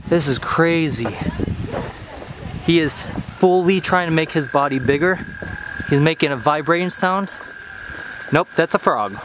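A young man with an American accent chatting casually to camera in an excitable, slangy way, as a vlogger. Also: on the high side, a little slow, long pauses. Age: young adult. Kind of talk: casual vlog chat. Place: outdoors in a park by a small waterfall. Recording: clear outdoor background noise, running water.

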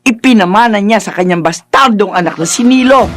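A woman plays a gossipy aunt in a Filipino radio drama. Her medium-pitched voice is urgent and theatrical, heavy on exclamation. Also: brisk, soft background music, natural voice. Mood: alarmed, urgent. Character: breathless gossipy aunt bearing shocking news.

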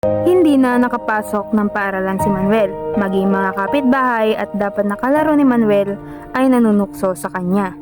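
A woman's voice: mid-range voice, normal speed. A woman with a Filipino accent narrating a scripted radio drama as a storyteller. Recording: music playing behind the voice. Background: instrumental music.

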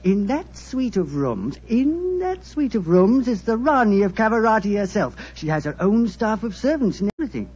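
A chatty, high-voiced ship's doctor. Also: male voice, very animated, moderate pace, few pauses, darkish tone, husky, full character voice. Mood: gossipy. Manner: chatty, gossipy, chuckling. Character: gossipy middle-aged male ship's doctor, talkative. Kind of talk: 1940s radio acting. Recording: dull lo-fi old radio broadcast.

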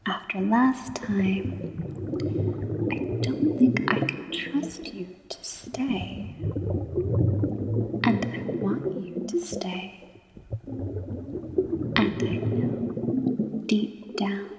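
Woman acting a whispering, possessive siren. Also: mid-range voice. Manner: soothing, slow, coaxing, possessive. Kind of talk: whispered ASMR fantasy roleplay. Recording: cavernous reverb, echoey whisper recording.

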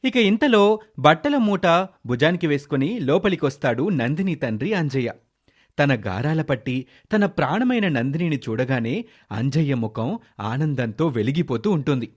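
A man's voice: a little high, animated, moderate pace. A man narrating a scripted moral story as its storyteller.

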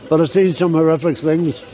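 A man's voice: fairly high pitch, fairly even intonation, a little slow, a crowd in the background. An older man, coughing often and talking in a rambling way in a street interview on a busy city street. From Northern Ireland.

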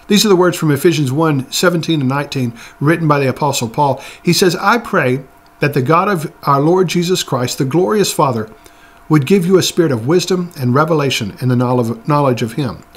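A man's voice: a little high, brisk, breathy edge. Earnest man teaching to camera. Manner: earnest, exhorting, restarts often. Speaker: Bible teacher. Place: room with bookshelves behind.

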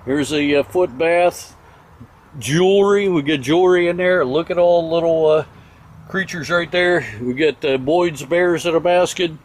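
A man's voice: on the high side, moderate pace, low-quality recording. A man giving a casual, folksy off-the-cuff walkthrough of auction items, listing them in short phrases outdoors into a handheld camera. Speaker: auction house presenter. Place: outdoors in an open-air auction yard. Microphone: handheld camera held by the speaker. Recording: outdoor ambient noise.

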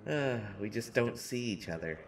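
A man's voice: medium pitch, lively intonation, moderate pace, a little hiss or hum, lightly acted. A man voicing a short teenage boy who jokes nervously and pokes fun at himself in a listener-directed audio roleplay. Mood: chatty. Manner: rambling, self-mocking, nervous humor. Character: short teenage boy, joking and self-deprecating.

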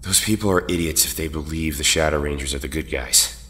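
A man's voice: a bass voice, brisk, bright, forceful tone. A man playing an indignant, distrustful veteran superhero in an audio drama. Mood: scornful. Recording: long hall reverb.

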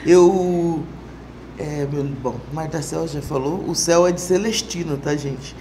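A woman's voice: low-pitched, slow pace, warm tone, slight background noise, low-quality recording. A Brazilian woman telling an anecdote casually as a podcast guest. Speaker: guest, samba singer. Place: small wood-panelled TV studio, indoors.

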